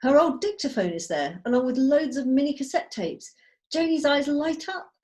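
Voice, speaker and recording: Animated woman narrating stage directions. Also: high voice, moderate pace. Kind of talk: scripted narration. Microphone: video call.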